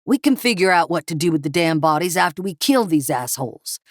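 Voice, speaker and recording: A man's voice: fairly high pitch, expressive, fairly quick, no echo, close to an everyday voice. A brutal, armed thug barks crude threats in a raised, menacing voice, as a woman reads him. Close, dry audiobook sound. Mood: yelling. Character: brutal male meth cook, armed, short-tempered.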